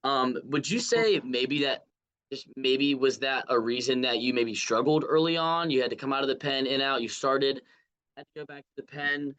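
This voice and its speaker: A man's voice: mid-range voice, moderate pace, a bit bright. A man working as a sports reporter, asking interview questions in an informal, conversational way with loosely built, rambling sentences.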